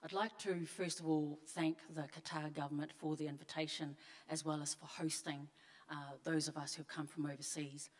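A middle-aged woman from Tonga, giving a measured, earnest panel speech on stage into a handheld mic. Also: deep voice, flat delivery. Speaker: panelist, member of parliament. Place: large conference hall on stage. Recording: long hall reverb.

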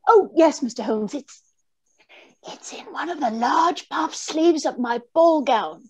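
A woman's voice: high-pitched, lively intonation, unhurried, darkish tone. A woman playing a frightened, earnest young lady in an old-time radio mystery, with a breathless, hesitant manner. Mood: anxious. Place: indoor room on a video call.

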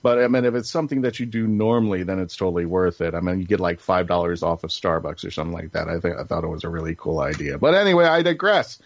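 A man's voice: deep voice, lively intonation, fast talker, few pauses, no room sound. A man hosting a casual panel podcast, chatty and rambling, with enthusiasm. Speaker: podcast host. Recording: dull lo-fi sound.

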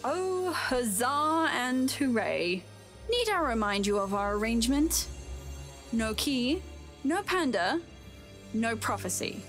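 A woman's voice: a little high, sing-song, slow pace, long pauses, fairly bright tone, soft background music, heavily acted. A woman playing a menacing, mocking villainess in a fantasy audio drama, sarcastic and taunting. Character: menacing, mocking villainess who commands monsters.

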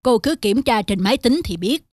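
A man's voice: extremely high pitch, expressive, moderate pace. A man voicing a stubborn, wily middle-aged customer in a cartoon, insistent and matter-of-fact. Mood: smug, indignant. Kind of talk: cartoon dubbing. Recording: music under the voice.

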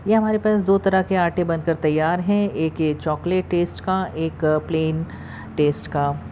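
A woman hosting a cooking channel, calmly talking through the steps of a recipe in her home kitchen, recorded on a phone. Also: on the low side, unhurried, dull audio, slight background noise. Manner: calm, instructive, repeats steps. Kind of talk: step-by-step recipe voice-over.

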